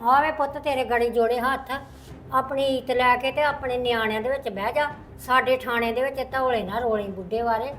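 A woman's voice: fairly high pitch, fairly even intonation, fairly quick, a bit bright, slight background noise, close to an everyday voice, poor audio. A woman playing an elderly mother in a family drama, plaintive and imploring, speaking. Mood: pleading, sorrowful. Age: older. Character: pleading, scolding elderly mother of a wayward son.

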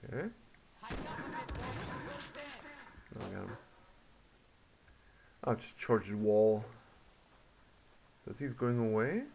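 A man giving casual gameplay commentary, muttering to himself in a halting way. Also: on the low side, expressive, vocal fry, darkish tone. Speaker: video game player. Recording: muffled, noisy home recording.

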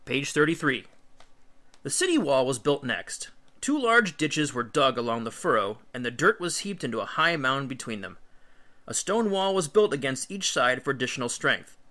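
A man's voice: medium pitch, big pitch swings, moderate pace, pushed and bright. A male teacher reading an illustrated history book aloud, with emphatic, instructional phrasing.

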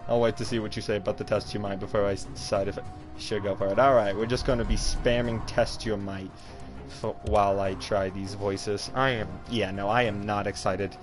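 A man's voice: lowish voice, fairly even intonation, a little fast. A man chatting casually on a live game stream in a reluctant, self-deprecating way. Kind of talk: casual live-stream chat while gaming. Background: fighting game music.

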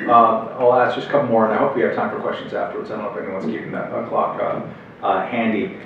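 A man's voice: lowish voice, steady tone, fast, gravelly, slight background noise. A young man moderating a convention Q&A, asking questions hesitantly with many fillers. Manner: hesitant, many ums and uhs. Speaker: interviewer, moderator. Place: hotel meeting room at a convention. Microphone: table mic on a stand, heard from far. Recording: echoey room, distant, dull sound.

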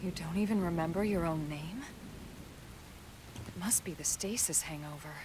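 A woman playing a composed, reassuring female sci-fi soldier in a video game cutscene, steady and matter-of-fact. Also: on the low side, normal speed, lightly acted. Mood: calm, reassuring. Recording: faint rain and hiss behind.

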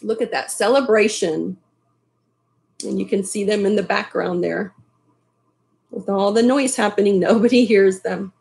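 A middle-aged woman reading a picture book aloud expressively, in an unhurried way, with casual asides to young listeners. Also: medium pitch, gravelly, darkish tone. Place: small furnished room at home, indoors. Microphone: webcam at desk distance.

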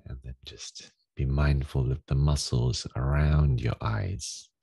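A man leading a guided meditation as a teacher, giving gentle, unhurried instructions with long pauses in a gravelly, husky voice. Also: a bass voice, fairly even intonation. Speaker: meditation teacher leading a daily group session.

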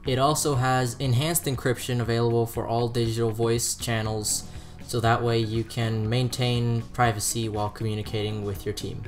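A man's voice: medium pitch, level tone, moderate pace. Male product reviewer over background music. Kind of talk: scripted product review narration.

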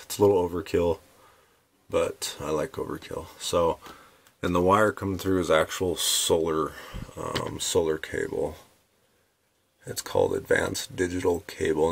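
A man with a very low voice talks offhand through a do-it-yourself walkthrough, trailing off into ums and uhs. He is recorded on a handheld phone inside a small RV. A faint hiss sits under the sound. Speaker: RV owner showing his solar setup. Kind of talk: casual DIY walkthrough.

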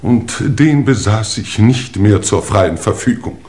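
An actor playing a pompous, self-justifying English lord in a 1950s German radio crime play, speaking formally and theatrically. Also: male voice, on the low side, animated, slow, darkish tone. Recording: old radio play with music behind.

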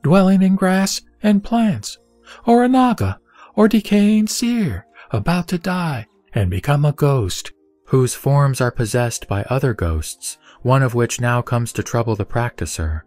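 A man reading a Buddhist scripture aloud in a slow, measured recitation. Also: medium pitch, animated, no echo. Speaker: scripture reader. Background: soft background music.

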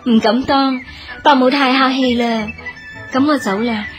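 A woman's voice: high voice, steady tone, measured pace, over loud music. An actress playing a courteous, considerate young noblewoman in a radio drama. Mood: gracious. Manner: polite, considerate. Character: gracious, courteous young noblewoman, a minister's wife. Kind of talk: Cantonese radio drama acting.

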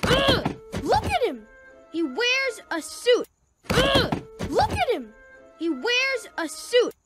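An actor voicing an indignant, dramatic young boy in an animated film, exclaiming in disbelief. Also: very high-pitched, slow, over loud music, exaggerated voice. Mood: outraged. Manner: exclamatory, incredulous. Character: indignant, dramatic seven-year-old boy, big brother.

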